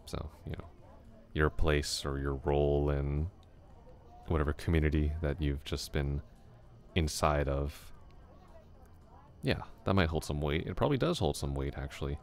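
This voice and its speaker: A man voicing a chatty young male classmate in an ASMR roleplay, musing aloud in a soft, rambling way with small chuckles. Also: very deep voice, moderate pace, vocal fry.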